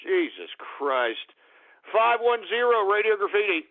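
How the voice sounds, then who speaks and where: High-voiced ranting male radio host. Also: very animated, measured pace. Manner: ranting, shouting exclamations. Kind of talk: call-in talk radio. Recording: lo-fi narrow-band broadcast with faint music.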